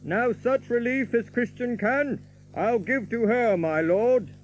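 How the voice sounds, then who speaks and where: An actor playing a merry young outlaw who recites an old romantic ballad in lilting, theatrical archaic verse. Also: male voice, extremely high pitch, slow pace, highs cut off, a little hiss or hum. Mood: lilting storytelling.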